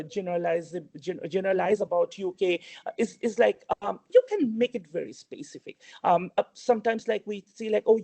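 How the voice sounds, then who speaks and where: A man's voice: sits high, gravelly, darkish tone. A man from Bangladesh whose first language is not English, giving casual advice as a guest speaker in a webinar, with many fillers and restarts. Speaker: award-winning alumnus guest speaker.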